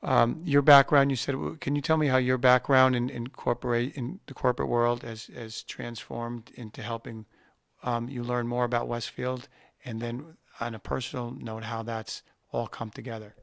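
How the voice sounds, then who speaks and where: A man hosting an interview, asking halting questions full of restarts. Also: medium pitch, flat delivery, vocal fry, no room sound, studio quality. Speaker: host.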